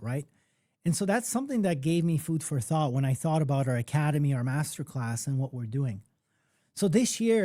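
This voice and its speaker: A man originally from Poland hosts a live online workshop, talking fast and loose like he's chatting with friends, checking in with his listeners as he goes. His voice sits a little high for a man, yet the tone is soft and mellow, and the sound is close and dry, with no room around it. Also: no room sound. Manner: conversational, animated storytelling with frequent "okay?" and "right?". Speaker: music producer and mentor hosting a workshop.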